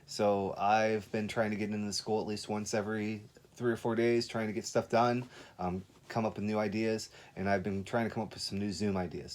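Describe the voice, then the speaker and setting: A man's voice: lowish voice, a little mellow. A man speaking as a kindergarten teacher in an informal remote lesson, giving encouraging step-by-step instructions with frequent check-ins.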